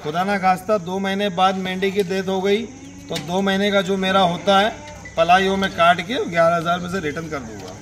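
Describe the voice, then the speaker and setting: A man's voice: sits high, fairly even intonation, fairly quick, fairly bright tone, slight background noise. A middle-aged man with an Indian accent, a farm seller chatting casually about his offers outdoors. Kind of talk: casual sales chat. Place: outdoors in an open dirt farm yard.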